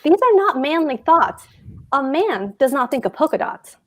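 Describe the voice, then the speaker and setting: A pompous government clerk played by a woman lays down the rules of duty in a higher-pitched voice. The delivery is emphatic and declamatory, as in a stage play read aloud. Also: big pitch swings, moderate pace, a bit bright. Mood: lecturing, scornful. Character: pompous, dutiful male government clerk and husband.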